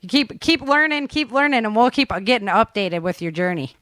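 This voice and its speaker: A woman's voice: fairly high pitch, animated, fairly quick, a bit bright, no echo. Casual chatty woman hosting, dry close sound. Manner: chatty, informal, addresses listeners as guys. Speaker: podcast host. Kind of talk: casual news rundown and chat.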